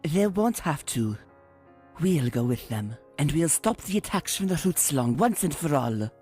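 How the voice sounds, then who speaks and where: Plucky country mare, done by a man, folksy and earnest, pitched up a little, cartoon dub over music. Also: sing-song, a little slow, a little mellow. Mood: determined. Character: plucky, polite young female country pony. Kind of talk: fan comic dub acting. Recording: music under the voice.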